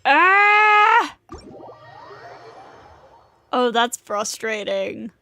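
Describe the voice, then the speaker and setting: A woman livestreaming a game, chatting casually and reacting out loud to what happens on screen. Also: high voice, very animated, very slow. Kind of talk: casual livestream gaming chat. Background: game music.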